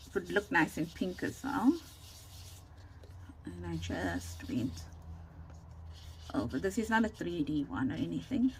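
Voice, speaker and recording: A woman giving a casual, chatty craft demonstration, thinking aloud in a rambling way with a loud, carrying voice, recorded without a separate microphone. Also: fairly low pitch, very animated, measured pace, pauses often. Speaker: craft tutorial host. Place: indoors at a home craft desk. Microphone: camera or phone without separate microphone. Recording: noisy room, no microphone.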